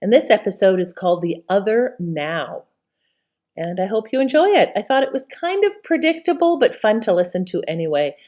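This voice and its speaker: A woman's voice: mid-range voice, big pitch swings, moderate pace, a little mellow. A woman hosting, talking casually to her listeners as she introduces a show. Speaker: channel host.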